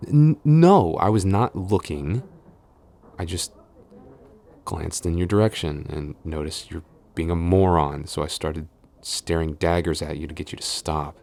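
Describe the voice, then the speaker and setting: Male actor as exasperated supervisor boyfriend, very low pitch, defensive, stammering, secretly smitten, intimate roleplay audio. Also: unhurried, creaky, darkish tone. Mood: flustered, defensive. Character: flustered tsundere boyfriend and office supervisor, scolding yet smitten.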